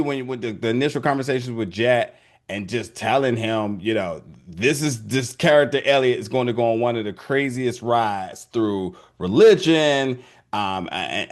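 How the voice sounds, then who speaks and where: A young man hosting a casual video interview, talking loosely with many restarts and fillers. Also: medium pitch.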